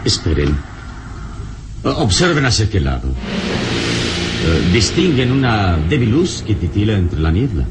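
A man playing a calm, courteous heroic adventurer in a radio serial, speaking in a measured, formal, commanding way. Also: lowish voice, loud music behind the voice. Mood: alert. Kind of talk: old Spanish-language radio serial acting. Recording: noisy old radio drama recording.

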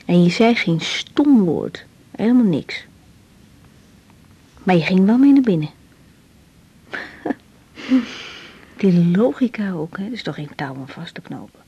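An actress playing a troubled young woman doctor in a naturalistic 1980s radio drama, hesitant and halting. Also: fairly low pitch, sing-song, mellow, husky, dull audio, full character voice. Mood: intimate, musing. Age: young adult. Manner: hesitant, halting, repeating words.

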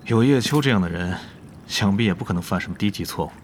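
A man acting a cool, authoritative guild president in a Chinese radio drama, measured and stern. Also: fairly low pitch, animated, slight background noise, natural voice. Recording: background music under the voice.

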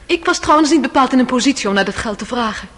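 A woman's voice: sits high, lively intonation, fast talker, a bit bright. An actress playing a proud, composed young noblewoman giving testimony in a radio drama. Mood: dignified, confessional. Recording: reverberant, noisy old radio play recording.

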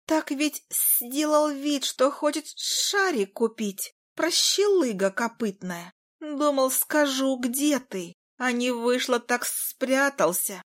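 A woman's voice: high-pitched, lively intonation, slow, pitched above the performer's own voice. A woman voicing a small cheeky female creature that giggles and gossips in an audiobook.

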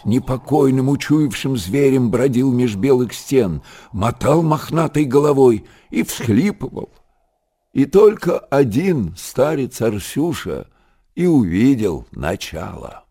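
Radio drama narrator: folksy, lively pitch movement, husky and mellow. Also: male voice, slow, exaggerated voice. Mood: storytelling. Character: expressive folk storyteller of a monastery tale.

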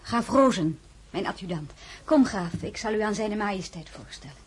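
Actress as highborn lady, formal, frank, composed, radio play, very varied intonation. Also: animated, moderate pace, noisy background, natural voice. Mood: composed, courteous. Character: composed, plain-spoken highborn lady, Napoleon's old friend. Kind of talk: Dutch-language radio drama acting.

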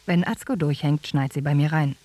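A woman's voice: deep voice, brisk, dark tone, dry recording. A woman narrating a scripted radio play in a dry, mock-formal manner.

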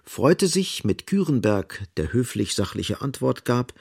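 An actor as the grave, sardonic narrator of a literary radio drama, speaking deliberately and with dramatic emphasis. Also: male voice, mid-range voice, sing-song, gravelly, a little mellow, husky, dry recording, clean studio sound, exaggerated voice. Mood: measured.

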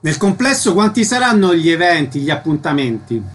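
Higher-pitched male radio host. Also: big pitch swings, brisk, a bit bright, breathy edge. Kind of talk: scripted radio presenting and interviewing.

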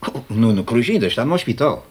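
An actor playing an informal Portuguese prison guard in a naturalistic radio drama. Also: male voice, mid-range voice, very animated, fast talker, breathy edge. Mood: brisk, matter-of-fact. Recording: faintly noisy cassette copy of radio play.

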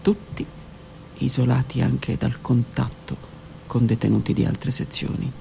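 Woman reading aloud in a gravelly hush. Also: extremely low pitch, level tone, a little hiss or hum, poor audio. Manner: slow, intimate, hushed delivery with whispered words. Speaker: author reading her own book. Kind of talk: dramatic literary reading on radio. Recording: radio broadcast with faint music.

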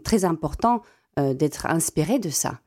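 A young South African woman whose first language is Afrikaans, a classical singer answering radio interview questions in a halting way with many repeated words. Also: low voice, animated, a little mellow.

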